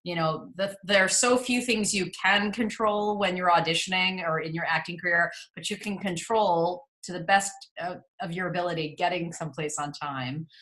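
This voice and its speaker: A woman's voice: lowish voice, fairly even intonation, moderate pace, few pauses, fairly bright tone, low-quality recording. A woman in her forties with a Midwestern American accent, a casting director guest telling stories in a chatty interview. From New York City. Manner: chatty storyteller, frequent laughs and restarts. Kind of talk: casual interview chat.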